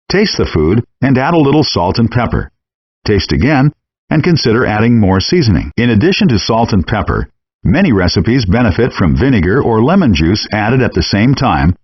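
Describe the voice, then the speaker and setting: A man's voice: mid-range voice, breathy edge, no echo. A man voicing a chef instructor in a cooking video game, reading scripted explanatory instructions in muffled, compressed game audio.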